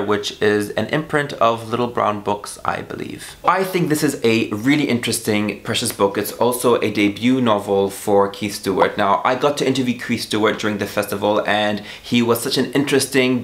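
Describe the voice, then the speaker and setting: A man's voice: mid-range voice, fairly bright tone, roomy sound. Chatty man enthusing about books. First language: Arabic. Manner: enthusiastic, chatty, trails off with hedges like "I think". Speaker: book vlogger. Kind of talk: casual book haul chat to camera.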